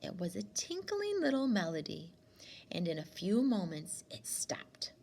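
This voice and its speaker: A woman reading a storybook aloud as a teacher, in an expressive narrating style. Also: medium pitch, measured pace, gravelly.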